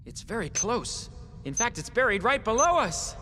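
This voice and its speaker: A man's voice: sits high, big pitch swings, normal speed, bright, forceful tone, big-room sound, a little hiss or hum, heavily acted. A man voicing an earnest, easily flustered teenage boy in an English anime dub. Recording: music behind the voice. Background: music.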